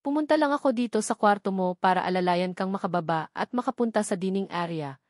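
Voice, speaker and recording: A woman's voice: mid-range voice, lively intonation, brisk, darkish tone, dry recording, studio quality, lightly acted. A woman with a Filipino accent voicing a cold, irritable young man in an audiobook romance, curt and stern.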